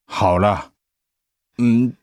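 A man's voice: lowish voice, very slow, darkish tone, no room sound, clean studio sound. An actor playing a reluctant, doubtful middle-aged father in a radio drama, sighing, with a Taiwanese Mandarin accent.

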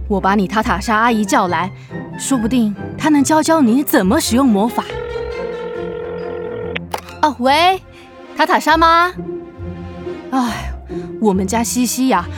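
A woman voicing an exasperated, fretting mother with a scolding, sighing manner in a children's radio play. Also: fairly high pitch. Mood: pleading, grateful.

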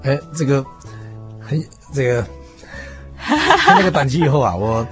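A man with a Taiwanese Mandarin accent, a preacher reflecting conversationally as a radio guest, haltingly repeating phrases. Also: mid-range voice, wildly swooping pitch, a bit bright. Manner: halting, repeats phrases, many sentence-final particles. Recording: music playing behind the voice. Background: music.

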